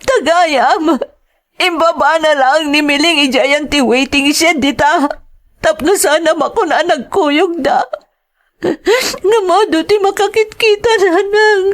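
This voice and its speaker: A woman acts out a wronged young wife in an audio melodrama. Her high voice has an Ilocano accent. She speaks with emotional emphasis, wounded yet fiery. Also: slow pace. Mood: tearful, betrayed. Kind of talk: melodramatic audio serial acting.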